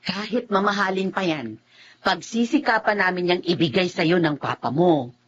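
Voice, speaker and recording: A woman's voice: fairly low pitch, moderate pace, close to an everyday voice. A woman playing an affectionate, proud mother in a Filipino radio drama. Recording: muffled radio-drama audio, faint noise.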